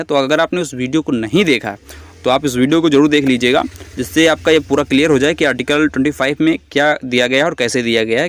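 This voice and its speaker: Higher-voiced man explaining like a teacher. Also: no echo. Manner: didactic, explains terms and restates them in other words. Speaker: educational video presenter. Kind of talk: explanatory lecture on current affairs.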